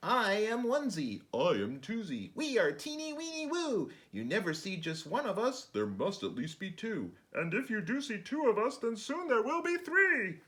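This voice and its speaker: A man voicing cheeky little high-pitched storybook creatures. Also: huge pitch swings, normal speed, hardly any pauses, fairly bright tone, full character voice. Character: cheeky little counting creatures from a picture book.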